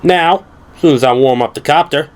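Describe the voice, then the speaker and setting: A man's voice: fairly high pitch, moderate pace, voice pushed up, close to an everyday voice. A man voicing a boyish, cocky Southern pilot with an Alabama twang, drawling eagerly in an audio drama. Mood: excited, cocky.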